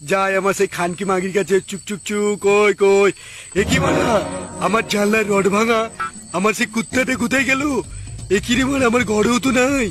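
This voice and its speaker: A man acts out a blustering male cartoon boss with a high voice. He curses crudely and bullies those under him, with music playing behind him. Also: normal speed, natural voice. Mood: irritated. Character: bullying foul-mouthed male boss, frantic about his lost dog. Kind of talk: comic cartoon parody dubbing.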